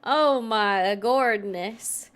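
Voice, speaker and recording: A woman's voice: on the high side, extremely slow, a bit bright, clean tone. A woman giving casual, reactive game stream commentary in a blunt, sweary, incredulous way.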